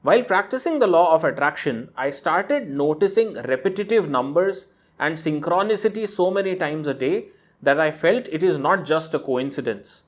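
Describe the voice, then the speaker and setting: A man's voice: fairly high pitch, expressive, normal speed. Man reading a viewer's story aloud. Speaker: host reading a viewer's letter. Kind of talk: narrated reading with commentary.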